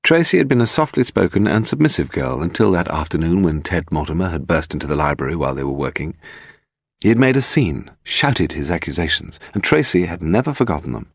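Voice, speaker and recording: A man's voice: sits low, very animated, a little fast, creaky, no echo, clean studio sound. A man with a British accent reading a story as a scripted audiobook narrator.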